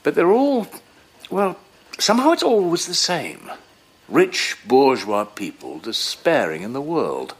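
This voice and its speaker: Freud, a husky brooding doctor. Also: male voice, fairly high pitch, very animated, heavily acted. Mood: impassioned, brooding. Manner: earnest, reflective, measured. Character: Sigmund Freud, earnest, brooding middle-aged male doctor. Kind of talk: audio drama acting.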